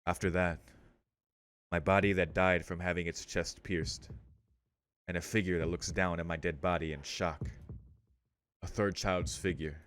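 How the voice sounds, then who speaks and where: A man's voice: deep voice, steady tone, unhurried, pauses often, a bit bright, a little hiss or hum. A man hosting a let's play, reading visual novel text aloud and breaking in with casual, slangy commentary. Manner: interjects with casual slang and swearing.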